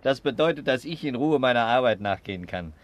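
An actor playing a jovial, hearty police inspector in a 1960s German radio crime drama, with a theatrical delivery. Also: male voice, medium pitch, expressive, fairly quick, lightly acted. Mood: jovial teasing.

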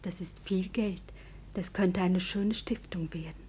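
An actress playing a polite, dutiful hospital nurse with a husky, breathy voice in a vintage radio drama. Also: female voice, on the low side, fairly even intonation, a little slow, dark tone. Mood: polite, hushed. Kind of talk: 1950 German radio drama acting.

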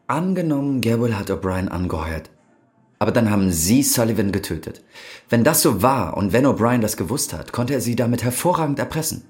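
An actor playing a hard, accusing police interrogator in a German radio crime drama, insistent, pointed and sardonic. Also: male voice, medium pitch, expressive, brisk, darkish tone, lightly acted. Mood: probing, insinuating.

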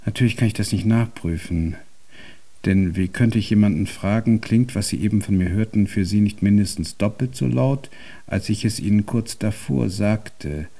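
An actor playing a deaf, cantankerous old composer in a German radio drama, speaking in an ornate, pompous and convoluted way. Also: male voice, on the low side, moderate pace, gravelly, dark tone. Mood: brooding, intense.

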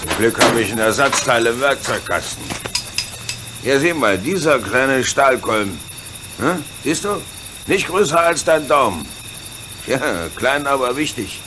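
An actor playing a gruff, hearty, good-natured locomotive driver in a German radio play. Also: male voice, mid-range voice, expressive, unhurried, background noise, lightly acted. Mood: worried, explaining.

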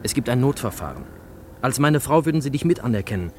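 A man's voice: medium pitch, sing-song, fast talker, a little mellow, a little hiss or hum, lightly acted. An actor playing an earnest young refugee engineer who pleads hesitantly and urgently with his sweetheart in a radio drama. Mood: dreamy, persuasive. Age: young adult.

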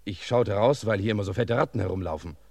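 An actor playing a long-winded, self-important cook giving a hesitant witness account in a German radio crime play. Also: male voice, on the low side, fast, creaky, a little mellow, no room sound. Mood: rambling. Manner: rambling, circumstantial, hesitant.

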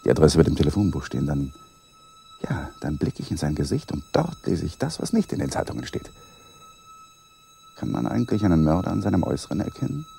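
An actor playing Walter, an uneasy and suspicious husband, in a 1980s German radio play, with brooding inner monologues. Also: male voice, very high-pitched, huge pitch swings, a little fast, vocal fry, mellow, soft background music, exaggerated voice.

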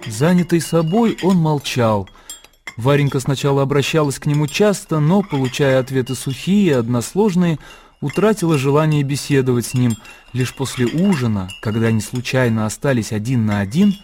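A man narrating a literary radio drama in measured, expressive storytelling. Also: medium pitch, slight background noise. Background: music.

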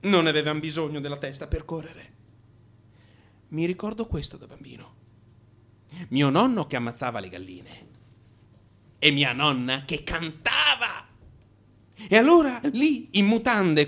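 Slow-speaking, brooding man in crisis. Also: on the high side, very animated, fairly bright tone, exaggerated voice. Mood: agitated reminiscing. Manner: brooding, intimate, halting confessional delivery. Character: anxious brooding married man in midlife crisis. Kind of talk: dramatic radio monologue.

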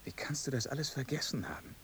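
An actor playing an unhappy, brooding young husband who is dead, in a poetic radio play, with solemn, heavy delivery. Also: male voice, medium pitch, expressive, quick pace, fairly bright tone, breathy edge. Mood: desolate.

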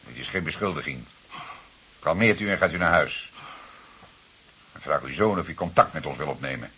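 Calm detective, low forceful voice, formal, deliberate, probing, hissy radio drama recording. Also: male voice, husky, full character voice. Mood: firm, calming. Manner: deliberate, formal, measured questioning. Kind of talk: Dutch radio drama dialogue.

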